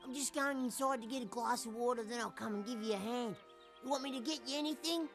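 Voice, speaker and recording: Woman playing a cheeky, high-voiced Australian boy. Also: quick pace, music in the background, close to an everyday voice. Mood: friendly. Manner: deadpan, frank, innocent. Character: cheeky, blunt, foul-mouthed young Australian boy. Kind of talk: film comedy-drama dialogue.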